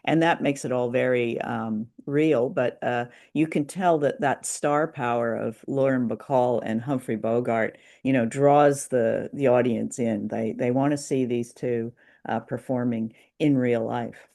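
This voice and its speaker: A woman co-hosting an unscripted discussion, explaining things informally in a tentative way with frequent pauses. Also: rumbling low, mellow. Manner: tentative, pausing explanatory delivery. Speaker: podcast co-host. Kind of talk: unscripted discussion of old-time radio drama.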